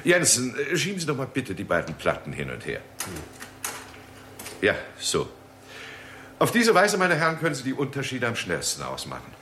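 An actor playing a brisk, courteous leading astronomer in a 1960s German radio drama. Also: male voice, medium pitch, wildly swooping pitch, a bit bright. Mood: businesslike. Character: Doctor Marlow, brisk leading astronomer at observatory. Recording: 1960s radio recording with background noise.

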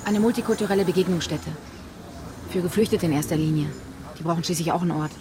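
An actress playing a shaken, talkative female café worker in a naturalistic radio crime drama, halting and chatty by turns. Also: on the low side, background noise, close to an everyday voice.

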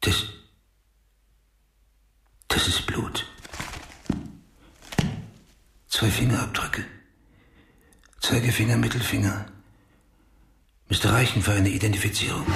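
An actor playing a hard-boiled, brooding homicide detective in a radio play, terse and blunt, with a gravelly voice. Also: male voice, lowish voice, background noise. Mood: brooding, muttering. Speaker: actor in a radio crime drama. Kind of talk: noir radio play acting.

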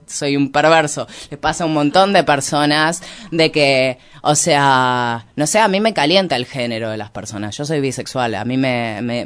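A man with an Argentine Rioplatense accent explains his ideas in an unscripted interview, halting and reflective, often correcting himself. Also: medium pitch, a bit bright, clear, resonant tone. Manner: halting, reflective, frequent hedging and self-correction. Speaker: interview guest, activist and researcher.

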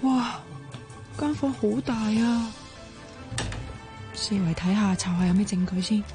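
A woman's voice: mid-range voice, slow pace, music in the background, lightly acted. Actress as impulsive young woman, Hong Kong Cantonese accent, blunt, exclamatory, impatient, radio drama. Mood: sneaky, excited. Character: feisty, suspicious, impulsive young woman turned amateur sleuth.

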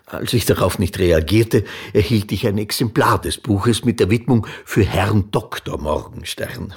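An actor playing a writer who recalls a late companion in a dry, measured way in a radio play, with a gravelly, husky voice. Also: male voice, on the low side, heavily acted. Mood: measured, recollecting.

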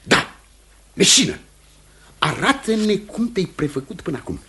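An actor playing an indignant, accusing village pastor in a radio drama, speaking formally and insistently. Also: male voice, high-pitched, sing-song, bright, forceful tone, breathy edge, exaggerated voice. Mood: goading. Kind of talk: theatrical radio drama acting.

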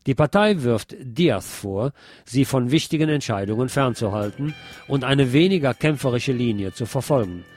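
A man's voice: mid-range voice, lively intonation, moderate pace, darkish tone, soft background music. A man reading scripted news in the role of a radio news presenter.

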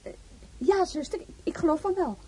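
An actress playing a timid young junior nurse in a radio play, hesitant and deferential. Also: female voice, extremely high pitch, normal speed, mellow. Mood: timid, eager.

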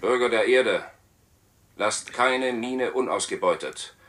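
An actor playing a solemn, urgent propaganda announcer of a space city, declaiming a broadcast appeal in a 1970s German radio play. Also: male voice, mid-range voice, slow pace, a bit bright.

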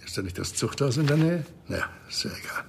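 An actor playing a smug, middle-aged interrogator in a crime radio drama, mock-polite and needling in a husky, gravelly voice. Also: male voice, medium pitch, big pitch swings, brisk, slight background noise. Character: smug, menacing male consultant interrogating his captive.

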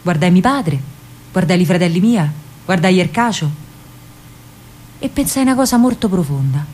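A woman's voice: on the low side, expressive, a little mellow. Spirited young Roman partisan girl. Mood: tense, suspenseful. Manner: vivid, earthy storytelling with deliberate pauses. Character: spirited young working-class Roman woman, wartime partisan courier. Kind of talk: dramatic first-person monologue in Roman vernacular.

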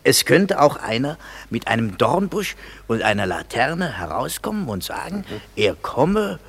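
A fussy husky rehearsal leader. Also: male voice, mid-range voice, big pitch swings, unhurried, a bit bright, exaggerated voice. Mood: organizing. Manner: agreeable, repeats "ja". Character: fussy male craftsman directing rehearsal. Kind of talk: German radio play acting.

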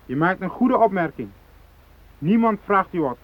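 High-voiced militant hijacker, thin sound. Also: male voice, expressive, normal speed. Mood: stern, commanding. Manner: declarative, threatening, ideological speechmaking. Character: fanatical South Moluccan hijacker, unyielding, cold, righteous militant. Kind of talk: radio drama acting. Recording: thin phone-like radio drama recording.

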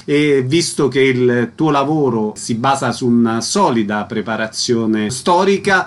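A man's voice: medium pitch, a bit bright. A man hosting a radio interview, introducing his guest and asking plain, direct questions. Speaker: radio host.

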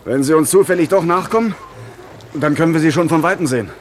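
A man's voice: fairly high pitch, quick pace, slight background noise, lightly acted. A young man playing an eager, headstrong young adventurer in a radio play. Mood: determined. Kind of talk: German radio play acting.

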